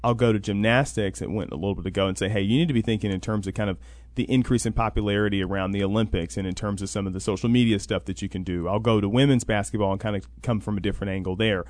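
A man's voice: on the low side, fairly even intonation, rapid-fire, a little mellow, no room sound. A man answering interview questions as a program coordinator, explaining conversationally and often acting out what others would say. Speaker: interview guest, college NIL program coordinator. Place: radio sports talk show.

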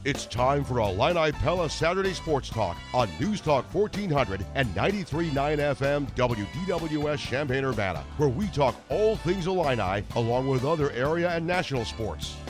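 An American man reads a scripted radio intro as the station announcer. His delivery is lively and swings widely in pitch, with music clearly playing underneath. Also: moderate pace, hardly any pauses. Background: music bed.

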